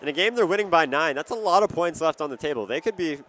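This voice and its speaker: Young male basketball analyst, slightly high voice. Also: animated, fast, fairly bright tone, slight background noise. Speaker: colour commentator on basketball broadcast. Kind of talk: live sports analysis. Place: courtside in a basketball arena.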